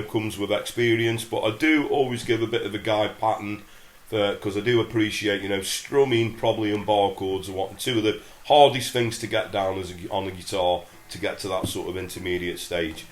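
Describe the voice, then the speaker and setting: A man's voice: on the low side, slight background noise. A middle-aged English man with a head cold and nasal voice, chatting casually through a guitar lesson with lots of fillers. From England. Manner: chatty, relaxed, many fillers. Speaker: guitar teacher. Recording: roomy sound with loud acoustic guitar.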